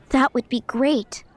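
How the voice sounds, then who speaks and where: A woman's voice: high-pitched, fairly bright tone, husky, heavily acted. An earnest, polite townswoman. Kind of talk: game voice acting. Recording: game audio with faint music.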